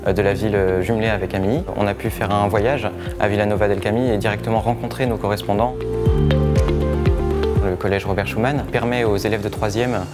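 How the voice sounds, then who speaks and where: Low-voiced hesitant young man. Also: steady tone. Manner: halting, many fillers. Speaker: former student giving testimony. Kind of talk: hesitant interview testimony. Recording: music bed under voice.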